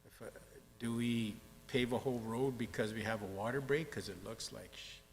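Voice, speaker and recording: A man's voice: medium pitch, steady tone, moderate pace, creaky. A man speaking as a city councillor in a council debate, blunt and folksy in a plain-spoken way. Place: council chamber. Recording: reverberant chamber with faint hum.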